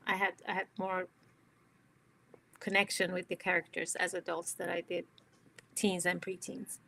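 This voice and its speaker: A woman's voice: lowish voice, little pitch movement, moderate pace, vocal fry, sharp tone, slight background noise, poor audio. A woman co-hosting a casual movie review chat, laid-back, mostly giving short agreeing replies. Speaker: podcast co-host.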